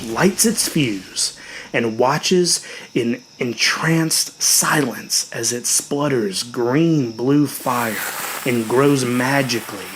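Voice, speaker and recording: A man reading a story as a dramatic narrator, measured and expressive, letting his voice hush at the ends of phrases. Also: medium pitch, slight background noise, rough recording.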